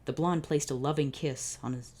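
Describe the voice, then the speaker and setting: A woman with a low, dark, mellow voice reads a story aloud in a dry comedic way. She breaks off into exasperated, sardonic remarks and keeps a deadpan narration pace. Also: moderate pace, husky. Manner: exasperated, sardonic, swearing asides. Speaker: channel host reading fan fiction. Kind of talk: comedic dramatic reading with asides.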